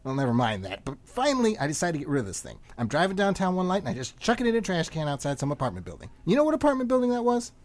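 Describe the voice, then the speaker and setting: A man acting in a comic radio play as a frantic, rambling middle-aged man under arrest, excitable and often interrupting himself. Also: fairly high pitch, racing, few pauses. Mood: agitated, confessional.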